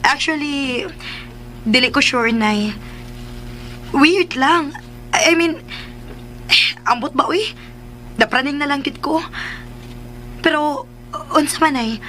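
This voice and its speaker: A woman's voice: high voice, slow, pauses often, pushed and bright, slight background noise, full character voice, low-quality recording. A woman playing a caring, puzzled younger woman in a radio drama, hesitant and confiding as she speaks.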